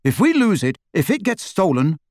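A man's voice: high-pitched, very animated, moderate pace, a little mellow, dry recording, clean studio sound, voice pushed up. A man voicing a shaken teenage boy wizard who answers defensively and firmly. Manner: defensive, firm.

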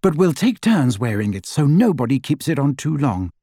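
A man voicing a clever, anxious teenage girl witch who talks briskly and impatiently. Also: medium pitch, big pitch swings, mellow, breathy edge, no echo, clean studio sound.

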